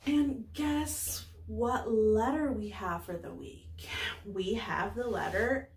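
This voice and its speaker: A young woman, a preschool teacher, giving a lesson to small children in deliberate short phrases with pauses. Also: on the high side, a little mellow. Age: young adult. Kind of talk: slow lesson for young children, filmed read-aloud greeting. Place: small indoor classroom-style room with bulletin board. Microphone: camera a few steps away. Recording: roomy echo, distant microphone.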